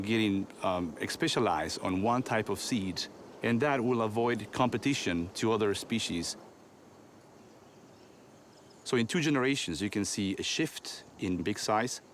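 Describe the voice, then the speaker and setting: Deep-voiced man explaining science. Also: slight background noise. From Ecuador. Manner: thoughtful, with frequent ums and uhs. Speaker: biologist. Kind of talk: interview explanation.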